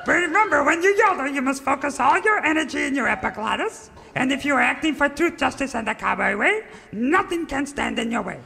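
A tiny, wise old-style creature mentor speaks in a very high, swooping, gravelly little voice. The delivery is solemn and cryptic with chuckles, like a parody radio serial, in a live hall. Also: male voice, expressive, brisk, few pauses, sharp tone, full character voice. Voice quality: creaky, high-pitched. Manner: solemn, cryptic sage pronouncements. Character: two-foot-tall furry little mystic cowboy mentor creature, wise and chuckling. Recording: live hall reverb, audience noise.

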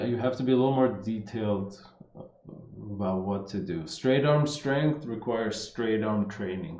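A man's voice: fairly low pitch, mellow. A man in his thirties with a slight Armenian accent, a fitness coach rambling casually through viewer questions on a live stream, full of fillers and restarts. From Los Angeles, United States. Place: indoor room. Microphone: wired earphone mic. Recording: dull echoey live-stream audio.

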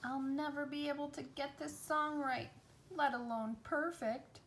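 A woman's voice: sits high, fairly even intonation, measured pace, a bit bright, slight background noise, voice pushed up. A woman voicing a shy young mermaid in a storybook, timid and easily amazed. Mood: sad. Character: shy, wide-eyed young mermaid girl.

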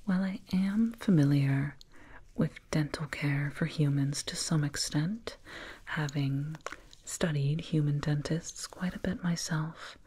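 Casting: woman as alien dentist for ASMR roleplay, low hushed whisper, unhurried, gently patronizing toward a little human patient. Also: heavily acted. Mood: pitying, curious. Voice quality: breathy whisper. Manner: slow, doting, clinical, gently patronizing. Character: condescending yet soothing alien dentist, fussing over a little human. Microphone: binaural mic, up close.

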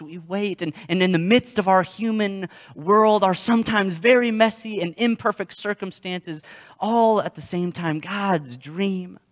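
A young adult man gives a sermon in a slightly high-pitched voice. His delivery is halting, with words repeated as he moves through his stories. Long reverb from a hall surrounds the voice. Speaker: pastor. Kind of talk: sermon with storytelling. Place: church sanctuary, indoors.

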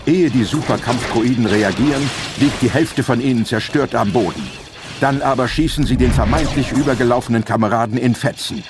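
A man's voice: medium pitch, brisk, music in the background. A man narrating a scripted audio play in a dramatic storytelling style.

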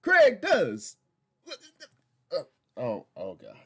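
Casting: American man, middle-aged reaction host, halting and exasperated, very animated pitch swings. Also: husky. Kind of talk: casual reaction commentary.

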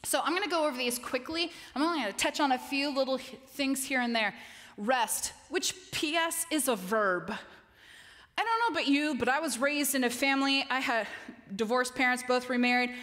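A woman in her forties giving an animated, emphatic chapel talk on a stage, gesturing as she speaks into a headset mic. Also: high voice, normal speed. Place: large stage in a dark auditorium. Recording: long reverb of a large hall.

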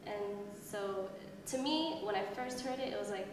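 A woman's voice: mid-range voice, expressive, normal speed. A young woman, a student, answering interview questions candidly in an indoor room. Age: young adult. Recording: very echoey room, mic far off, noisy.

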